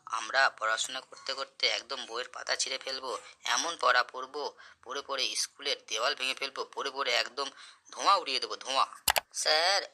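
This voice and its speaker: A man's voice: mid-range voice, sharp tone. Man as a cheeky, piercing cartoon schoolboy. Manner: over-confident, pleading, exaggerated. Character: cheeky, boastful, dim-witted village schoolboy. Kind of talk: comic cartoon voice acting.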